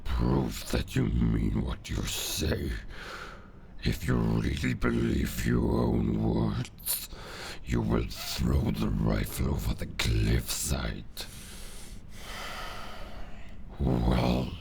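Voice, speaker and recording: Werewolf villain: bottomless gravel growl, drawn-out predatory delivery, cold and taunting, audio drama. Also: male voice, rumbling low, darkish tone, a little hiss or hum, full character voice. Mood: suspicious, commanding. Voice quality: gravelly, growling, breathy at times. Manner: slow, deliberate, taunting menace. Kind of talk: cinematic audio roleplay acting.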